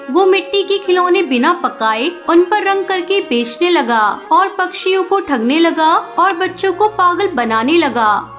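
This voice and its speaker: A woman's voice: very high voice, fairly bright tone. A woman reading scripted narration for a children's cartoon story. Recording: light music behind the voice.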